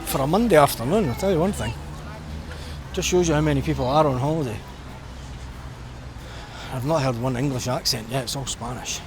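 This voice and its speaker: A man's voice: medium pitch, fairly quick, long pauses, over music. A middle-aged man with a Scottish accent chatting casually and reflectively as he vlogs while walking. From Scotland. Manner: reflective, informal, conversational. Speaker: vlogger. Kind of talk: casual rambling vlog chat while walking. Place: outdoors, walking along a busy seafront. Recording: outdoor street noise with traffic.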